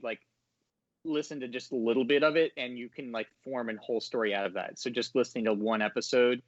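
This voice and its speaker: A man's voice: mid-range voice, a bit bright, no room sound. Male discussion guest, mid-range voice, rambling and hesitant, dull lo-fi audio. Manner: hesitant, stammering search for words.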